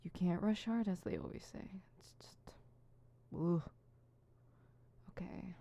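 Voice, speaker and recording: A woman's voice: lowish voice, slow pace, vocal fry, warm tone. A woman playing an insecure, theatrical supervillain rehearsing monologues in an ASMR roleplay, switching between fumbling mutters and pompous recital. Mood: flustered, self-critical. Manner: fumbling self-conscious muttering broken by pompous verse recital. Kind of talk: ASMR roleplay, scripted solo audio drama.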